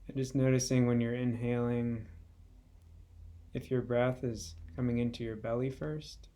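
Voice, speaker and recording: A man guiding a meditation in a gentle, unhurried way, leaving long pauses between short phrases. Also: mid-range voice, flat delivery. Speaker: meditation guide. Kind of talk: guided body scan meditation.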